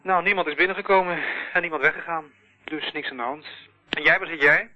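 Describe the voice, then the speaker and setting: An actor playing a down-to-earth police sergeant in a Dutch radio play, clipped and colloquial. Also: male voice, fairly high pitch, fast talker, sharp tone. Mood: matter-of-fact. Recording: dull lo-fi old radio recording.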